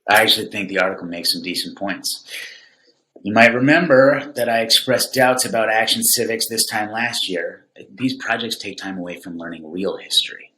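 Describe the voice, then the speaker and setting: An actor playing a stubborn, skeptical veteran civics teacher in an audio drama, arguing bluntly. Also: male voice, fairly low pitch, normal speed, pushed and bright, low-quality recording. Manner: blunt, argumentative.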